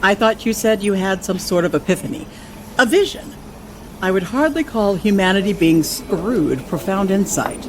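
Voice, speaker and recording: An actress playing a sceptical, level-headed colleague in a science fiction audio drama, speaking in a measured, dry, challenging way. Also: female voice, mid-range voice, expressive, slight background noise, lightly acted, low-quality recording.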